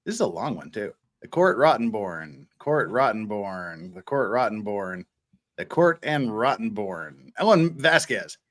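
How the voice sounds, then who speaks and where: A man's voice: on the low side, big pitch swings, measured pace, a bit bright. A man hosting a casual livestream, rambling and repeating words as he thinks aloud. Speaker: host of an online show.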